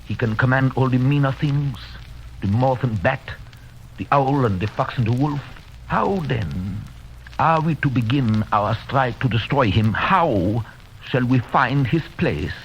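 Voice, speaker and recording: Grave old-radio vampire hunter doc, halting and deliberate, dull faintly hissy recording. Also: male voice, mid-range voice, animated. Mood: urgent, grave. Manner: solemn, deliberate, halting foreign phrasing. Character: grave learned foreign vampire hunter doctor, solemn and commanding. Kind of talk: 1930s radio drama acting.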